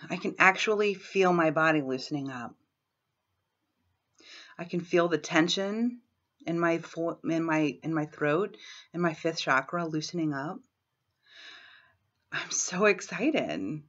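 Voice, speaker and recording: A woman in her thirties, hoarse from a head cold, talking frankly and clearing her throat as she guides a tapping session at home on her laptop webcam. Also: low voice, expressive, measured pace, pauses often, a bit bright. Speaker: tapping coach. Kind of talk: self-help vlog with guided tapping. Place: small room at home, indoors. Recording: dull lo-fi webcam sound.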